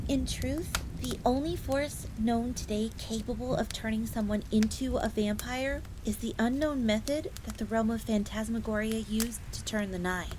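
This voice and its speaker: A chatty, deferential fox maid, acted by a woman for a fantasy audio roleplay. Her voice sits fairly high and she explains things informally, calling herself by name. A clear crackling fire noise runs behind her. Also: lightly acted. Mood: earnest, explanatory. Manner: refers to self in third person, informal, explanatory.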